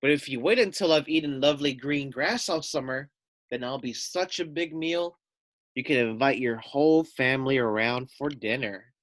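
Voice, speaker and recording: A man voicing a small young female lamb from a storybook, sly and calm, with a coaxing, flattering manner. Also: mid-range voice, normal speed, no echo, lightly acted. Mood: persuasive.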